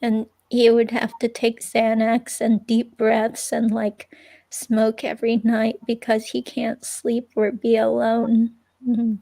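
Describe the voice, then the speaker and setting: A woman playing a halting, creaky-voiced young ex-girlfriend. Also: on the high side, monotone, warm tone. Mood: hesitant, uneasy. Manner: halting, hesitant, filler-laden delivery. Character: hesitant young ex-girlfriend giving a police statement, uneasy. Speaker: reader playing an interviewee. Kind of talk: dramatic reading of police interview transcript.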